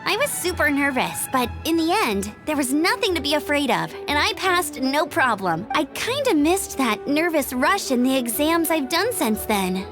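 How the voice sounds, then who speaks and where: A woman's voice: sits high, very animated, normal speed, hardly any pauses, over music. A young woman playing a perky, brave knight scout in a video game, chirpy and earnest. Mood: sheepish, chatty. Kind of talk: animated video game voice acting.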